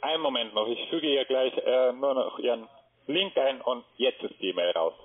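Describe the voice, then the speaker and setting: A man's voice: medium pitch, animated, moderate pace, slightly rough. A man working as a call center agent, polite and hesitant, full of fillers as he repeats details back. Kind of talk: phone call. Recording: phone-line audio.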